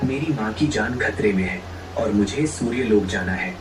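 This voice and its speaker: A man's voice: on the low side, expressive, moderate pace, recorded from a distance, noisy background, close to an everyday voice. A man playing a devoted, earnest village son of eighteen in a dramatized moral story, pleading tenderly. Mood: earnest, urgent.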